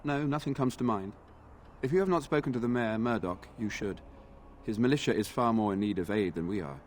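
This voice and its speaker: An actor playing an earnest, dutiful knight in a fantasy video game, speaking formally and courteously. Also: male voice, lowish voice, fairly quick, a little hiss or hum, close to an everyday voice. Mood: earnest, resigned. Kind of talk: video game dialogue acting.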